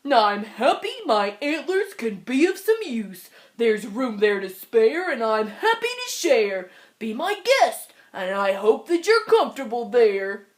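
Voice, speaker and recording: Woman voicing a meek, slow-talking big-hearted moose. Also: fairly high pitch, sing-song. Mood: obliging, kindly.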